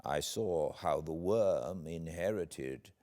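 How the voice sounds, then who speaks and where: A British man reading a classic novel aloud as an audiobook narrator, in a measured, theatrical and expressive way with deliberate pauses. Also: sits low. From Britain.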